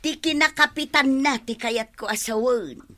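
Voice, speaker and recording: Nosy excitable village lady, ilocano radio comedy, sharp high voice. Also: normal speed. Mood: nosy, prodding. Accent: Filipino, Ilocano.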